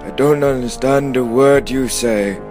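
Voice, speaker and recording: A man's voice: medium pitch, unhurried, over music, natural voice. A man playing an eccentric, pompous old wizard in a comedic fantasy radio play, grand and theatrical in his delivery. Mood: silly, greedy.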